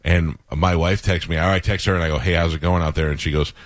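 A man with a very low voice hosts a radio show from a dead, dry studio, recounting events in a loose, rambling way. He drifts through fillers and asides in a matter-of-fact tone. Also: fairly even intonation, fast, dry recording. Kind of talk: casual radio chat.

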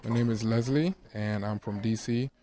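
Dutch-born guy, native dutch, calm short answers, lowish voice. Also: normal speed, faint music behind. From Netherlands. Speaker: audition hopeful.

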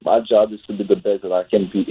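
Male veteran guest from Haiti, lowish voice, interviewed over a narrow phone line. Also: little pitch movement, quick pace, slightly rough. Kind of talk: radio interview answers.

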